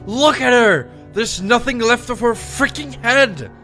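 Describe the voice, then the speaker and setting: Man playing angry, combative youth, swinging pitch. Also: lively intonation, a little slow, music in the background, exaggerated voice. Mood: angry, incredulous. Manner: combative, swearing, accusatory. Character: angry, grieving, combative young person who lost a girlfriend. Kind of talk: audio horror drama acting.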